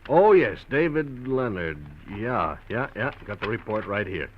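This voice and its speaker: An easygoing desk sergeant from an old radio play talks in a chatty, offhand way. His voice sits a little low, and the sound is dull and lo-fi, like a 1950s broadcast. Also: big pitch swings, a little slow. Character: easygoing middle-aged male police sergeant. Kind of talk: 1950s radio drama acting.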